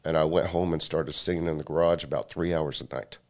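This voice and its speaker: Very deep-voiced man, relaxed guest. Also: fast, gravelly. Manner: relaxed, rambling, hesitant restarts. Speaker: guest performer. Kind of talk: casual chat.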